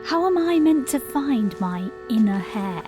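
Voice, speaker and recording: A woman's voice: a little high, big pitch swings, a little slow. An actress playing a self-doubting, apprehensive woman, confiding her thoughts in an audio drama monologue with music behind her. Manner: reflective, confiding.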